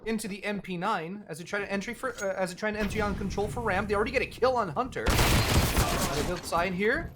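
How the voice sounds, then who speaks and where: High-pitched man calling esports action. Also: heavy background noise. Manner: brisk, calls action as it happens. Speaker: esports play-by-play caster. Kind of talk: esports play-by-play commentary.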